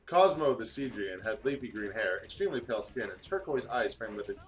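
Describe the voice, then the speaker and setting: A man's voice: lowish voice, animated, brisk. A man doing a comedic read-aloud of fanfiction, stumbling over words and breaking off to comment. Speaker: fanfiction reader on a gaming show.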